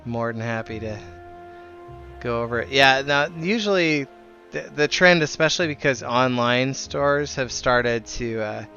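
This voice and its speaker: A man's voice: medium pitch, unhurried, bright, forceful tone, muffled recording, soft background music. A man streaming a game live, chatting casually in a friendly, rambling way. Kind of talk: casual chat while streaming.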